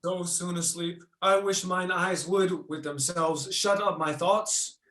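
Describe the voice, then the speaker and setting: A man's voice: high-pitched, fairly even intonation, slow pace, a bit bright. A man playing a weary, sorrowful king in a Shakespearean radio play. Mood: weary, dismissive.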